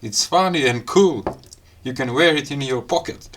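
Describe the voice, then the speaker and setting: A man with a non-native English accent giving a casual hands-on gadget demo in short, halting phrases. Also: mid-range voice, very noisy. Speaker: gadget review host. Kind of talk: casual hands-on unboxing and product demo talk.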